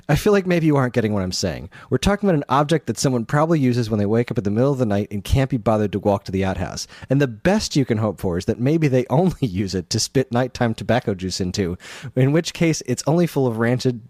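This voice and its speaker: Man reading as an exasperated, lively game narrator. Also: mid-range voice, fast, dry recording, clean studio sound, natural voice. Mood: incredulous. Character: exasperated, disgusted game narrator scolding the player. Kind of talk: dramatic reading of comic game text.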